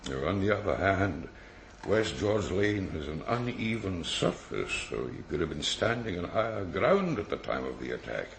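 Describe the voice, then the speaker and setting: An actor playing a dry, pedantic forensic pathologist in a radio drama, speaking in a precise, deliberate, expository way. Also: male voice, sits low, big pitch swings, gravelly, exaggerated voice. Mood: measured, explanatory. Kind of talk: naturalistic radio drama acting. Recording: roomy echo, faint background noise.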